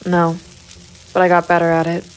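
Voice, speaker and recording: An actress playing a hard-boiled, brooding and quietly determined female private investigator in a gritty noir audio drama. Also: on the low side, monotone, moderate pace, clean tone, close to an everyday voice, poor audio. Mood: cold, resolute. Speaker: voice actor narrating and playing the lead of an audio drama. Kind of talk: gritty noir audio drama acting and first-person narration.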